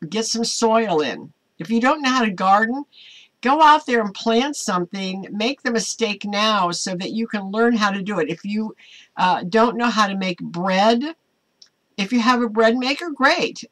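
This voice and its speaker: Older American woman, halting and deliberate. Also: mid-range voice, a bit bright, low-quality recording. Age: older, around 70s. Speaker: host of an online Bible study. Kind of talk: informal devotional talk to camera with scripture reading. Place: small home office, indoors.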